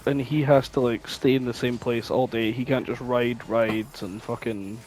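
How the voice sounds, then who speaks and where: A man's voice: mid-range voice, steady tone, normal speed, a little mellow, low-quality recording. A man co-hosting a podcast, chatting casually and informally. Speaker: podcast co-host.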